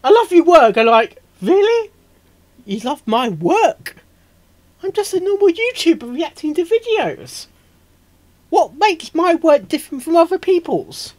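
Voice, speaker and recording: A man hosting a reaction video, rambling casually and excitably, stammering and repeating himself as he goes. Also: extremely high pitch, measured pace. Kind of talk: casual off-the-cuff reaction commentary.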